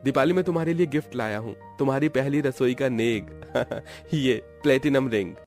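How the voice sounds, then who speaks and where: A man's voice: mid-range voice, normal speed, a little mellow, soft background music, voice pushed up, close to an everyday voice. A man voicing an earnest young husband and dutiful son in a Hindi cartoon family drama. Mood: affectionate.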